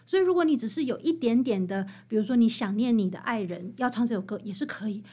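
This woman speaks Mandarin with a Taiwanese accent in a fairly high voice with a husky grain. As an interview guest she explains things earnestly and conversationally, elaborating in repeated, parallel phrases. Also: animated, fast, dark tone.

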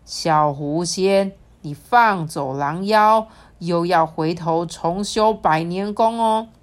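A woman voicing a stern, commanding heavenly king who speaks slowly and emphatically. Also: on the low side. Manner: slow and emphatic.

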